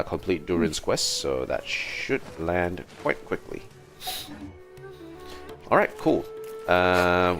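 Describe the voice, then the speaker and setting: A man giving casual livestream commentary as he plays a game, musing aloud in a halting, hesitant way. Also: low voice. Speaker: livestream host playing a game. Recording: game music behind the voice. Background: video game music.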